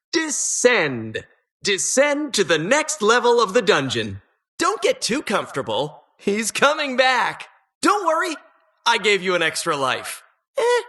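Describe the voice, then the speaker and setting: An actress playing a gleefully sinister, disembodied dungeon host in a video game, mock-cheerful, teasing and theatrical. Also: female voice, fairly high pitch, a bit bright, exaggerated voice. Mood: dry, sardonic. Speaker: game announcer.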